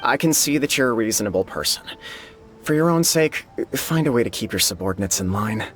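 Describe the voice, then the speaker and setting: A man's voice: fairly low pitch, lively intonation, fairly quick, a bit bright, soft background music. An actor playing an exasperated but reasonable male shop owner in a video game, scolding in a weary, lecturing way. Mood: weary, forgiving. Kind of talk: scripted video game voice acting.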